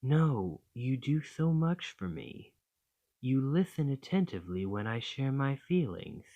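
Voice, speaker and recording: A man's voice: mid-range voice, very animated, a little slow, creaky, warm tone, highs cut off, exaggerated voice. A man playing an affectionate, slightly possessive dragon boyfriend in an ASMR romantic roleplay, speaking tenderly and reassuringly. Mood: tender, adoring.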